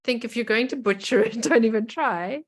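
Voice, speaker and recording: A woman's voice: a little high, normal speed. A woman with a South African accent, chatting casually as a co-host in a podcast conversation.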